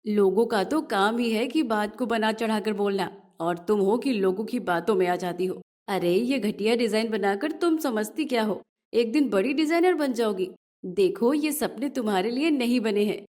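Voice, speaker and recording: A woman's voice: medium pitch, moderate pace, a little mellow, lightly acted. Scornful, nagging household sister-in-law, performed by a woman, storybook drama delivery. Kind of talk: dramatized moral story acting.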